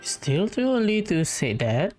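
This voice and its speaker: A woman's voice: fairly low pitch, very animated, measured pace, darkish tone. A woman giving casual commentary while playing through a game as a streamer. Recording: game music faintly behind.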